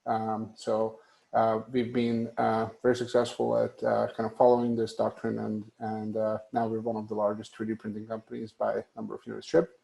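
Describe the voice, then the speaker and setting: A man with a Hungarian accent speaking as a guest on a video-call panel, halting with many fillers and restarts. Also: on the low side, completely flat delivery, gravelly, mellow, poor audio. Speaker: panel guest, tech executive. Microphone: video call webcam.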